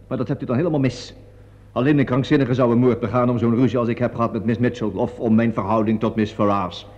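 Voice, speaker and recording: A man's voice: mid-range voice, steady tone, fast talker, a little mellow, natural voice. An indignant theatre man voiced by a male actor rattles along in an agitated, self-justifying way. The sound is a muffled old radio play with a long reverberant hall around the voice. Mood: defensive. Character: indignant, talkative theatre man under police questioning. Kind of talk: Dutch radio play acting.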